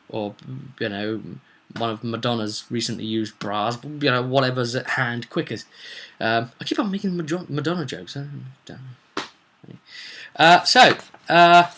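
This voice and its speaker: A man informally recapping a tabletop roleplay session as game master, rambling and animated as he tells the story. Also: mid-range voice. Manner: rambling, animated, acts out quotes.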